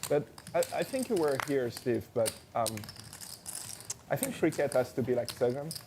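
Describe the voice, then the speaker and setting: Man, poker player, relaxed storyteller, mid pitch, loud room noise behind. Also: moderate pace. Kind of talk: casual table chat. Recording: noisy room with typing and clicks.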